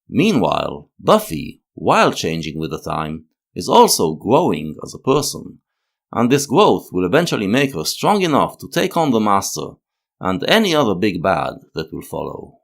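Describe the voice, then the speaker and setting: Low-voiced man narrating with big swings. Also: gravelly. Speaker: video essay narrator. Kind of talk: scripted analytical narration.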